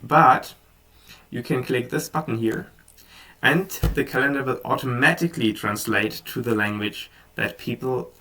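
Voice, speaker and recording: Gravelly-voiced German man narrating a tutorial. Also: medium pitch, measured pace, distant mic. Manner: relaxed, with frequent ums and restarts. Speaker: software tutorial presenter. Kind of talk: casual screen-recorded tutorial.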